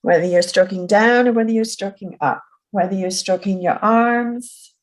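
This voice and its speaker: A woman guiding a group through a gentle self-soothing session, speaking softly and soothingly. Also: medium pitch. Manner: soft, slow and soothing. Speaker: relaxation instructor.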